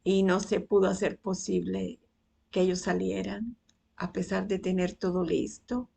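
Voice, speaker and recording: Cuban woman, 60s, faith testimony; husky voice, slow and unhurried, frequent pauses. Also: medium pitch, little pitch movement, dark tone. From Cuba. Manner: unhurried, pausing often between phrases.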